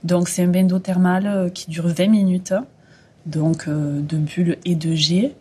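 Young woman, husky and soft. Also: on the low side, flat delivery, a little slow. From France, Occitanie. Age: early 20s. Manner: hesitant, short affirmations. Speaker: thermal spa agent, guest. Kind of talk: radio interview.

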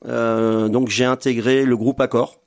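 A man's voice: medium pitch, flat delivery, unhurried. A man in his fifties, a restaurant owner and chef, talking in a radio interview with a hesitant manner full of fillers and restarts. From France. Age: 50s.

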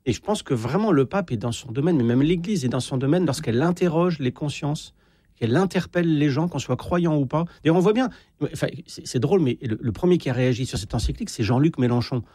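A man, a priest guest in a studio discussion, animated and quick, often repeating words as he starts a sentence. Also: on the high side, husky, dry recording.

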